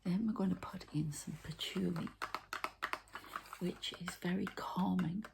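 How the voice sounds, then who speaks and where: A woman giving an ASMR energy healing session, softly and haltingly talking through each step as she goes. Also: fairly low pitch, steady tone, gravelly, noisy background. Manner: soft, halting, murmured commentary on each step. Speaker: ASMR energy healer and channeler.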